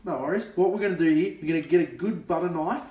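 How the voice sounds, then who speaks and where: A young man with an Australian accent hosting a comic cooking tutorial, brash and excitable and playing the professional while he snaps at his helper. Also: a little high, quick pace. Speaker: comic cooking show host. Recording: echoey room, mic at a distance.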